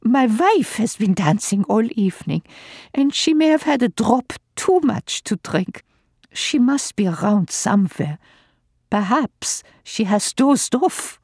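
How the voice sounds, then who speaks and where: A middle-aged male doctor begging for help in formal, imploring words, as a reader puts him on. His voice strains upward and the delivery is slow. The sound is dry and close. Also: medium pitch, very animated, darkish tone, no room sound, pitched above the performer's own voice, full character voice. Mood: pleading.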